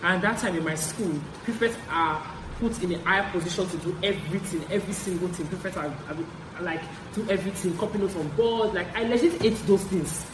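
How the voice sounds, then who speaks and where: A young adult man with a Nigerian accent tells stories casually, in an animated way, repeating phrases for emphasis as a vlogger. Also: high voice, a little fast, few pauses. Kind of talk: casual story-time chat. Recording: roomy echo, clear background noise.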